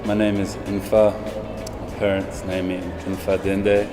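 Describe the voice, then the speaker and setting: A man's voice: low voice, completely flat delivery, unhurried. A man from England giving earnest, reflective talking-head answers in an interview. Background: loud background music.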